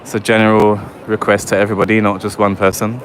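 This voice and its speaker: Male streamer, lowish voice, chatty and wry, handheld mic on noisy night street. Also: flat delivery, fast. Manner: chatty, wry, talks to live chat. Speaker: livestream vlogger. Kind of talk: walking livestream commentary. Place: city streets at night, outdoors. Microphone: handheld phone or camera mic while walking. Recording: loud street noise behind. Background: traffic and street ambience.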